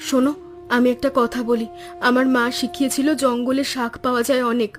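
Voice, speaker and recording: A kind village wife from a cartoon folk tale speaks in a clearly high voice, performed by a woman. She is caring, practical and reassuring. Music plays underneath her. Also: flat delivery, a little fast, close to an everyday voice. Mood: confident, reassuring. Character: kind, resourceful village woodcutter's wife, young mother. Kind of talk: Bengali cartoon story voice acting.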